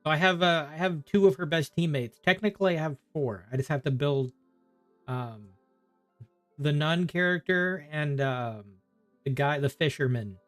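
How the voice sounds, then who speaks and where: A man's voice: a little high, moderate pace, a bit bright, dry recording, faint music behind. A man chatting casually on a game livestream, rambling and thinking aloud as he plays. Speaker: game streamer. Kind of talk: casual livestream chat while gaming.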